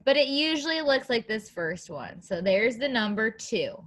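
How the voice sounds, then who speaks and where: Female preschool teacher, high bright voice, sing-song and encouraging, reading aloud to little kids. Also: normal speed. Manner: animated, sing-song, encouraging, simple phrasing for young children. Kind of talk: children's read-aloud lesson with questions and counting.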